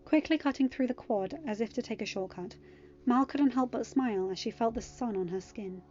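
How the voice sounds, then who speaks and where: A woman's voice: fairly high pitch, a little fast, warm tone, lo-fi sound. A woman narrating a scripted piece of fiction as an audiobook reader.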